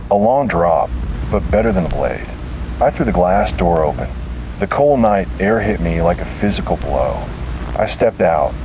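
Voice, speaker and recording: A man reads a scripted first-person horror story dramatically, in short deliberate phrases. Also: low-pitched, noisy background.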